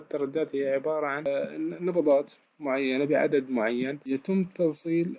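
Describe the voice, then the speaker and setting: A man's voice: a little high, gravelly, darkish tone. A man giving a recorded technical lesson, explaining step by step and answering his own questions with frequent hesitations. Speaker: lecturer.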